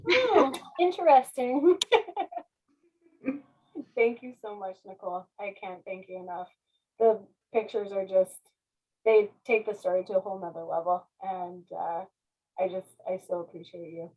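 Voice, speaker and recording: A woman's voice: mid-range voice, measured pace, pauses often, darkish tone, slightly rough, lo-fi sound. A woman chatting casually as a guest author, effusive and self-deprecating. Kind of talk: casual question-and-answer chat.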